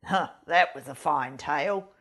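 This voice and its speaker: A woman's voice: deep voice, measured pace, fairly bright tone, husky, pitched below the performer's own voice, heavily acted. A woman voicing a hungry storybook fox who is blunt and politely menacing.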